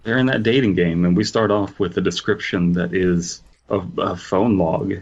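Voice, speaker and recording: Very deep-voiced man, dull lo-fi mic. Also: steady tone, moderate pace. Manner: hesitant, restarts often. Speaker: podcast co-host. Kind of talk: casual critique chat.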